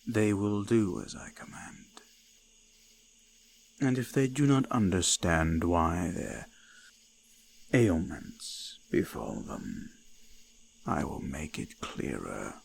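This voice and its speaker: Very slow, gravelly forest god. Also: male voice, deep voice, expressive, a little hiss or hum, full character voice. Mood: cold menace. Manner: slow, deliberate, archaic phrasing, often sinking to whispers. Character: ancient eldritch forest god, male, menacing, slow, hungrily curious. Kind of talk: scripted immersive roleplay monologue, folk horror. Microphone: close binaural microphone.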